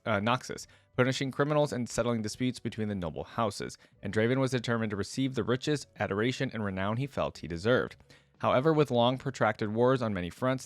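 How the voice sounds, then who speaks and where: A man's voice: fairly low pitch, no echo. A man doing casual reaction commentary, reading text aloud and adding his own remarks. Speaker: host of a gaming reaction channel.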